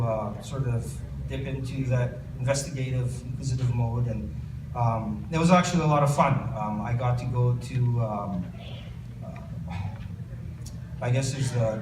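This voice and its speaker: A Canadian man, an author, reading from his book and then answering audience questions at a bookstore event, with many fillers and restarts. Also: mid-range voice. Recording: roomy distant recording with background noise.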